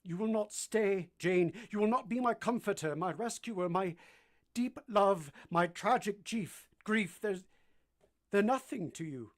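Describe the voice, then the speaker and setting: Brooding period gentleman played by a male actor, bitter, anguished, declamatory radio drama delivery. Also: high voice, lively intonation, a little slow. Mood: anguished pleading. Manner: impassioned, declamatory. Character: anguished, brooding English gentleman, bitter and pleading with his beloved.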